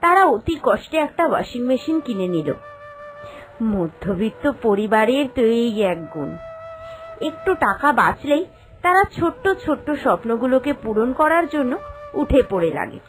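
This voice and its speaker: A woman narrator tells a scripted cartoon story. Her voice is high and very melodic, rising and falling widely over background music. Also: big pitch swings, moderate pace.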